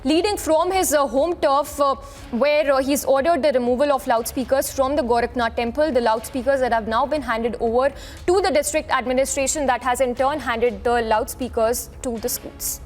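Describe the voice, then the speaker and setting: A woman with a high voice and an Indian accent anchors live breaking news. She ad-libs haltingly, circling back over the same points as she reports. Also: steady tone. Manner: halting, repetitive ad-libbed delivery.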